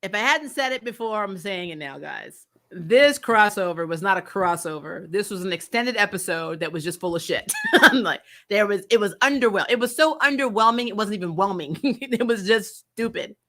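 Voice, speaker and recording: A woman's voice: medium pitch, expressive, moderate pace, bright, forceful tone. A woman hosting a casual, opinionated live review, talking in a blunt, exasperated, sweary way and laughing as she rants. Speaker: host of a TV review show.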